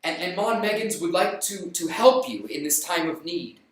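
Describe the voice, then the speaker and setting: A storyteller voicing a nervous, solemn man from a factory who speaks haltingly. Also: mid-range voice, expressive, natural voice. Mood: sorrowful. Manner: hesitant, halting. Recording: roomy, distant mic.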